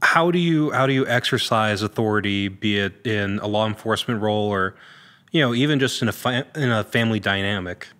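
A man's voice: on the low side, a little fast, fairly bright tone. Man chatting casually on a podcast. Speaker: actor being interviewed. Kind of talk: casual conversational podcast interview. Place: large indoor room with hard floor and open ceiling. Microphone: podcast microphone on a boom arm.

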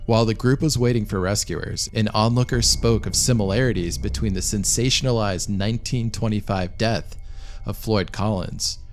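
A man reading scripted documentary narration of a true disaster story in a measured, matter-of-fact way. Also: on the low side, fairly even intonation. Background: background music.

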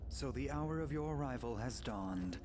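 A man's voice: mid-range voice, lively intonation, moderate pace, background noise, natural voice. A man acting a menacing, solemn cult leader in a fantasy game, speaking in grandiose, formal pronouncements. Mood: cold threat. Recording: voice over game music.

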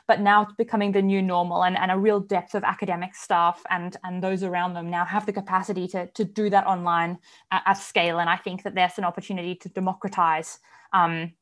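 A woman in her thirties with an Australian accent, speaking as a panelist on an online panel from her home, earnest and fluent. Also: mid-range voice. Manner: earnest, fluent, measured with some restarts. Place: home room with bookshelves. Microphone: video call, earphones.

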